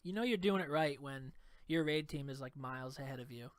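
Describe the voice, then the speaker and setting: A man's voice: medium pitch, fairly quick, dry recording. A young man with a stuffed-up cold voice giving laid-back, rambling commentary over his gameplay. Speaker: gameplay video creator. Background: game music.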